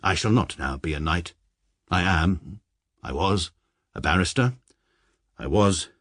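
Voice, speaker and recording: A deadpan British barrister narrator, deep and husky, dry studio. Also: male voice, a little slow, no room sound, heavily acted. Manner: precise, dry, pedantic. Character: pompous middle-aged barrister narrating his confession. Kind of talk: first-person radio narration.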